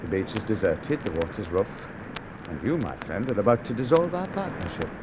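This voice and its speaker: A smooth cold-blooded killer. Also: male voice, lowish voice, normal speed, background noise. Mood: cool menace. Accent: Australian. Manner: calm, polite and menacing. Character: cold scheming male murderer, smooth and polite. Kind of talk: 1950s radio drama acting.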